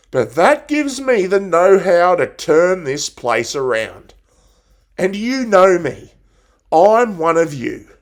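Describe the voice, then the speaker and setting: A man reciting a theatrical monologue as a blunt, folksy 68-year-old Australian country woman making a rousing campaign speech. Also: high voice.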